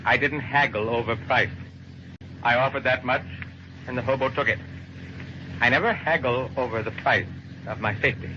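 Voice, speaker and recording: A man's voice: medium pitch, moderate pace, pauses often, lightly acted. Actor as polite, haunted man, muffled noisy old radio. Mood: guarded, resigned. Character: wealthy guilt-ridden murderer, polite, resigned and fearful. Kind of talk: mid-century American radio crime drama acting, first-person narration.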